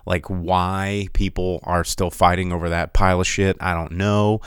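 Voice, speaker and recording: Smooth-voiced, rambling male podcaster. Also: deep voice, normal speed, dry recording, studio quality. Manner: rambling, animated, sarcastic asides. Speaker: podcast host. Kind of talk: casual solo commentary.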